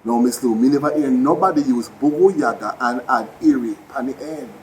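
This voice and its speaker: A man's voice: mid-range voice, a little fast, darkish tone, slight background noise. A man with a Jamaican accent, an actor giving a heartfelt spoken tribute addressed directly to a departed mentor.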